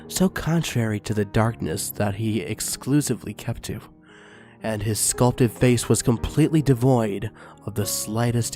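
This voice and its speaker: A man narrating a fan fiction story aloud in a steady, expressive reading voice. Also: mid-range voice.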